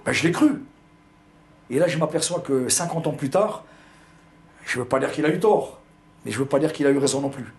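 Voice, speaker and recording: A man's voice: medium pitch, animated, moderate pace, pauses often, a little mellow. An older man from Algeria with a pied-noir accent, telling his memories in an interview, hesitating and repeating words. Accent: pied-noir French. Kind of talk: recounting personal memories.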